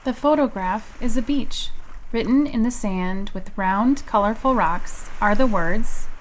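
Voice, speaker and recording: Woman audio describer, plain and steady, reads out picture descriptions. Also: fairly high pitch, unhurried, slight background noise, low-quality recording. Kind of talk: plain descriptive narration of photographs.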